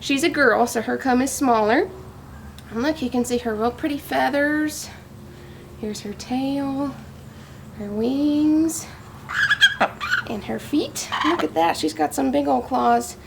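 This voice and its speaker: A woman's voice: high voice, slow, vocal fry, pauses often, slight background noise. A woman speaking to young children in simple, teacherly language with questions, as in a storybook read-aloud or farm show-and-tell.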